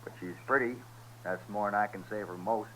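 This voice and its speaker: An American actor playing a sly, mocking, cynical outlaw in a 1950s radio western, with taunting, folksy speech. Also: male voice, lowish voice, brisk, pushed and bright, slight background noise, low-quality recording. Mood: sly, teasing. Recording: vintage radio recording.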